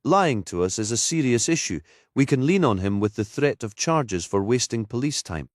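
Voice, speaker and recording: A man voicing a gruff, world-weary police detective in an audiobook, snappy, dry and authoritative. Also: medium pitch, expressive, normal speed, no room sound, pitched above the performer's own voice, lightly acted. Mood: firm.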